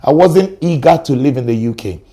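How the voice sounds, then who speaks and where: A middle-aged Ghanaian man with a Ghanaian accent, a guest on a talk show, telling stories in an animated way. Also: medium pitch, brisk. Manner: animated storyteller, acts out sounds and quotes. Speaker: guest, educator. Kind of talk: studio talk show conversation.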